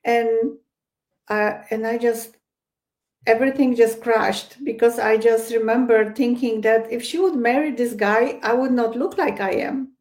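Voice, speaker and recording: A woman's voice: on the high side, fairly even intonation, measured pace, a little mellow. A woman with a Polish accent, a guest psychic medium, speaking in a hesitant interview style full of fillers and restarts. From Poland. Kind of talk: casual interview chat.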